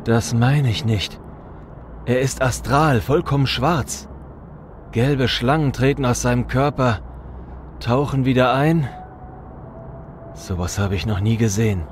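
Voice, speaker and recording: A man's voice: mid-range voice, a little slow, pauses often, lightly acted. A man playing a wary, grumbling, sardonic mage shadowrunner in a fan audio drama. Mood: alarmed, awed. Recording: constant vehicle and street noise.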